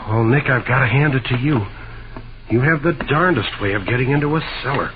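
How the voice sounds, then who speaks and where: An actor playing a detective's eager, wisecracking sidekick in an old-time radio drama, talking in slangy, folksy style. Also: male voice, mid-range voice, a little fast, over music, close to an everyday voice. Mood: relieved, wry. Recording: noisy, muffled vintage radio recording.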